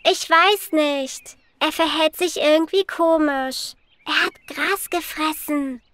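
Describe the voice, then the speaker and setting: A curious, caring little cartoon girl, with a very high, bright voice. She speaks slowly and expressively, thinking out loud in simple words. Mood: puzzled. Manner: simple, slow, expressive wondering aloud. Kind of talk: children's cartoon dubbing.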